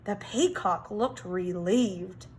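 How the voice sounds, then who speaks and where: A woman reading a children's storybook aloud like a teacher, expressive, with dramatic emphasis. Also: mid-range voice, slow pace, slight background noise.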